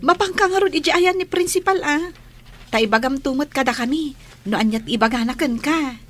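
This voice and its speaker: High-pitched teenage Ilocano schoolgirl, played by a woman. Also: a little fast, fairly bright tone, slight background noise. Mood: chatty. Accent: Filipino (Ilocano). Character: teenage schoolgirl, a lively, friendly classmate. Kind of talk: radio drama acting.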